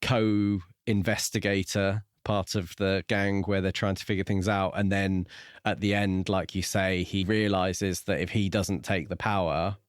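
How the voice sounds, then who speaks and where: A man co-hosting a podcast, discussing a book casually in a measured, reflective and explanatory way. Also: sits low, flat delivery, clear, resonant tone, dry recording, studio quality. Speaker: podcast co-host.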